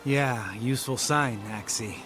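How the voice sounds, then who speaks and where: Game sidekick: male actor as sarcastic, hot-headed younger hunter, brash and mocking, medium register over a loud score. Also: mid-range voice, measured pace, lightly acted. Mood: teasing, businesslike. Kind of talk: video game character acting. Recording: loud music bed under dialogue.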